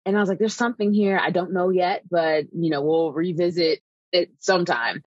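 A woman's voice: lowish voice, fairly quick, a little mellow, no room sound, dull audio. A woman in her thirties, a podcast guest, telling her story in a chatty way with lots of "like" and "um". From United States. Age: 30s. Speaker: guest, wine writer. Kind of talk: casual podcast interview.

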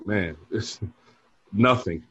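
Husky-voiced man in his forties. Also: lowish voice, slow pace, fairly bright tone, no echo, muffled recording. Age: 40s. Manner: easygoing storyteller who laughs often. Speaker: bar manager and podcast guest. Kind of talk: casual interview chat.

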